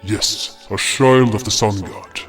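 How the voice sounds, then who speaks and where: An indignant frost guardian beast played by a man tells his fantasy tale in a lowish voice. He is dramatic, impulsive and given to grumbling, with light music underneath. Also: steady tone, slow pace, fairly bright tone. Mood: grave, grumbling. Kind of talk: first-person fantasy story narration and dialogue.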